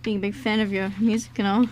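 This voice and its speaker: A woman's voice: mid-range voice, fairly even intonation, a little fast, darkish tone, dull audio, slight background noise, lightly acted. A woman playing a polite, friendly, slightly shy young man in an amateur radio play, chatty but hesitant.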